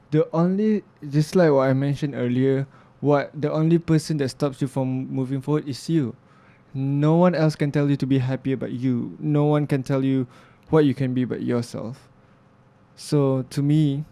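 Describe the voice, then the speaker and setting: Young male interview guest; gravelly, mellow-toned, earnest, stops and restarts mid-thought. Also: medium pitch. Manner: reflective, earnest, halting with restarts and fillers. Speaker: interview guest, filmmaker and music producer. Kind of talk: casual interview talk.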